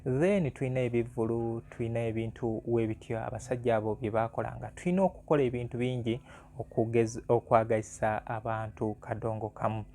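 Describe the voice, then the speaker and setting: A man's voice: mid-range voice, steady tone, a little fast, a little mellow. A Ugandan man hosting an informal music vlog, talking conversationally and repeating phrases for emphasis. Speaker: music analyst and channel host.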